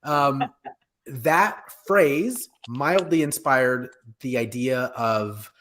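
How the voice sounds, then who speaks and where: A man with a mid-pitched voice chats as co-host of a casual online show. He is jokey and animated, starting and restarting his sentences as he goes. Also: a little hiss or hum. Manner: jokey, animated, halting with self-interruptions. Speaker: co-host of a pop culture live show. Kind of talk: casual panel chat. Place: home room, indoors, video call.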